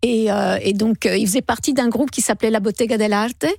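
A woman in her sixties, a singer guest on a radio show, telling stories in a lively, chatty way. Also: a little high, brisk, vocal fry, no room sound, studio quality. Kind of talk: casual radio interview. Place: radio studio. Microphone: studio microphone.

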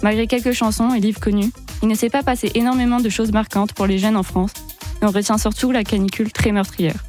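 A woman's voice: medium pitch, level tone, a little fast, a little mellow, soft background music. A teenage girl reading a scripted radio column aloud. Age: teens. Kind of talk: scripted read segment.

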